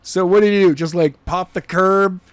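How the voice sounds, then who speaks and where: Guy reading a novel draft on a podcast then joking around about it, kinda high voice, dry close mic. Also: smooth, no room sound. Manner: animated, jokey, quick to slip into character voices. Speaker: podcast co-host reading chapters aloud.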